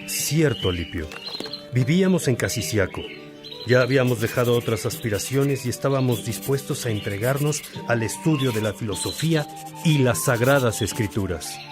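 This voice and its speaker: A man's voice: medium pitch, animated, moderate pace, over music, close to an everyday voice. A man acting a devout, earnest churchman in a religious radio drama, speaking with solemn, reflective, formal phrasing. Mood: reflective, fond.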